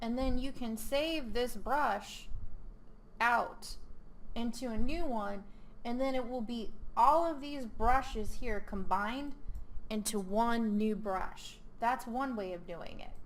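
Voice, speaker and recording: A woman host walks through a software tutorial at a slow, unhurried pace, her fairly high voice a little hoarse. She speaks casually and hesitantly, filling gaps with ums and correcting herself as she goes. A faint hiss sits under the desktop mic recording. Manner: relaxed, hesitant, many ums and self-corrections. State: losing her voice.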